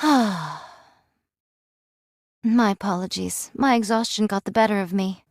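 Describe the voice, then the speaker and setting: A woman's voice: medium pitch, measured pace, no echo, studio quality, lightly acted. An actress voicing a stern, weary and stoic swordswoman in a video game, with formal, solemn phrasing. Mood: weary, resolute. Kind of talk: dramatic game voice acting.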